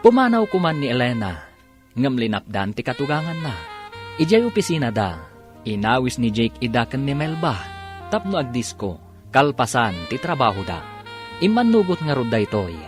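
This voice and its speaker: Animated Filipino male drama narrator over music. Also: mid-range voice, moderate pace. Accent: Ilocano Filipino. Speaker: narrator and host of an Ilocano radio drama series. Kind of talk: dramatic scripted radio narration. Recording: loud music bed under the voice. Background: loud background music.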